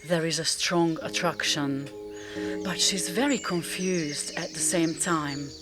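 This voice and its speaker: Slow, low-voiced woman narrating radio drama. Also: steady tone, over music.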